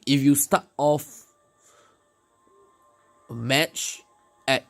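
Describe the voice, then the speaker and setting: Slow-paced man commentating on gameplay. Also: mid-range voice. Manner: hesitant, explanatory, pausing mid-sentence. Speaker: gaming video creator. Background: music.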